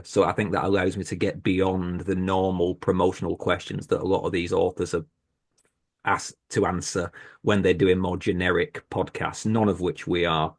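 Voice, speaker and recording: Low-voiced British man. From Britain. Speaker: podcast host, panel guest. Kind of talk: casual panel conversation. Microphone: video call.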